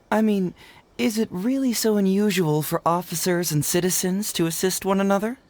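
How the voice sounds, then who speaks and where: A man's voice: high-pitched, moderate pace, darkish tone, a little hiss or hum, lightly acted. Breezy, nonchalant young male detective played by an actor. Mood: evasive, breezy. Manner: casual, nonchalant. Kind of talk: scripted video game dialogue. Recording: music behind the voice.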